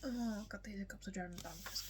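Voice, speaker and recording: A woman's voice: mid-range voice, fast talker, noisy background. Young woman with a strong Yorkshire accent. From West Yorkshire, England. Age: young adult. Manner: soft, frequently whispery delivery with many ums. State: hiccups. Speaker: vlogger. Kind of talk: casual get-to-know-me chat.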